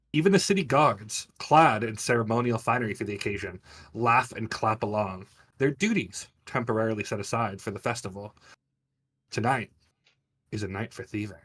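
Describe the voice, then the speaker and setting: Male game master, medium pitch, vivid descriptive tabletop narration. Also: fairly bright tone, slightly rough.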